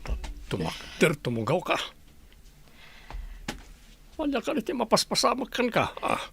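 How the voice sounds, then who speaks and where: Guy doing a stubborn grumbly dad in a radio drama, raspy and creaky, swings up and down. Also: sits high, huge pitch swings, a little slow, a bit bright, faint music behind, heavily acted. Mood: suspicious, insistent. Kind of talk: Ilocano radio comedy drama acting.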